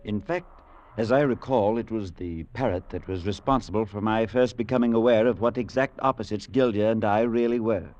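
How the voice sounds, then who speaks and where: An actor playing an earnest, courteous clergyman in an old-time radio drama, speaking with measured, formal gravity. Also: on the low side, darkish tone, natural voice. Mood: grave, reflective. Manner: measured, grave, formal storytelling. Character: earnest, courteous, compassionate clergyman recounting a dark tale. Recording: muffled old radio recording with music.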